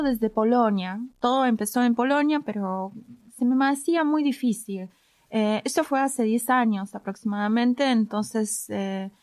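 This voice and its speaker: A woman's voice: on the high side, darkish tone, no room sound. Polish woman w/ argentine spanish accent, 30s, chatty podcast guest, lots of eh, dry mic. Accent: Argentine Spanish, Rioplatense. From Poland. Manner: hesitant, frequent "eh" fillers. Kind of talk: casual conversational storytelling.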